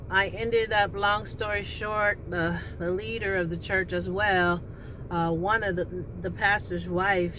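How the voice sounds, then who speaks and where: A woman's voice: lowish voice, rough recording. Rambling alto woman. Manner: rambling, with frequent restarts and filler words. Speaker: vlogger. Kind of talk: casual personal storytelling. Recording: steady background noise with passing vehicle sounds.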